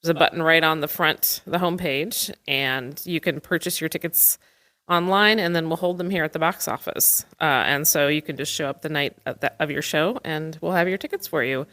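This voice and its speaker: A woman's voice: low-pitched, normal speed, bright, forceful tone. A woman speaking as an interview guest, giving practical information in a casual, helpful way. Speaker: theater staffer, interview guest.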